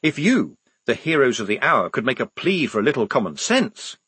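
A man's voice: mid-range voice, sing-song, moderate pace, fairly bright tone, husky, no echo. A man doing a persuasive, slightly nervous newspaper editor.

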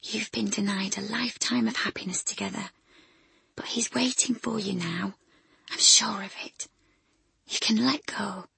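A woman voicing a kind young carer in a storybook, speaking in a soft, breathy whisper. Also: sits high, level tone, measured pace, no room sound, pitched above the performer's own voice, exaggerated voice. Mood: tender hushed. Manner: tender, hushed.

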